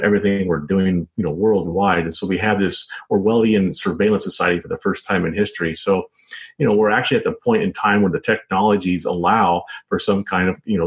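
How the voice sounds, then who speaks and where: Male interview guest, author type. Low voice, halting explanatory delivery with repeated words and frequent "you know".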